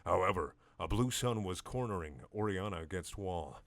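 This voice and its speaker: A man giving a deadpan, mock-serious comedic reading of fan fiction, with wry asides. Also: deep voice, normal speed, no echo. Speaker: dramatic reader of fan fiction.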